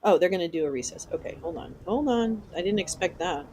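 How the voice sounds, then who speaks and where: A man's voice: high voice, animated, fast talker, a little mellow, a little hiss or hum, low-quality recording. A man hosting a live stream, mocking the speakers in a broadcast hearing with sarcastic commentary. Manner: mocking, heckling. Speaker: livestream host reacting to a hearing.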